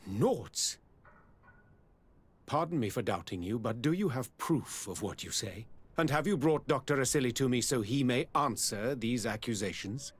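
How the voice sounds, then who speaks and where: An actor playing a dignified, diplomatic governor in a game, formal and deliberate. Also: male voice, medium pitch, lively intonation, breathy edge. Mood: shocked, formal.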